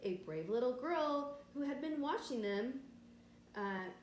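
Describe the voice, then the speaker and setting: A woman's voice: high voice, takes it slowly. Woman reading a storybook in a roomy space. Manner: expressive, explains words simply for children. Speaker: teacher reading aloud to children. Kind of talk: storybook read-aloud with simple explanatory asides. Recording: roomy echo with faint background noise.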